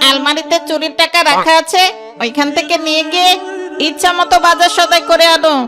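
A woman's voice: extremely high pitch, level tone, a little fast. A woman voicing a sly, cowardly male fox in a children's cartoon, theatrical and whiny. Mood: grumbling. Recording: music bed behind the voice.

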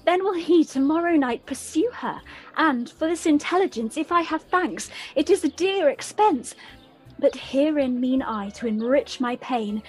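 A woman playing a lovelorn young woman, bitter yet determined, delivering dramatic, measured Shakespearean verse in a radio play. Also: high-pitched, animated, darkish tone, faint music behind, poor audio.

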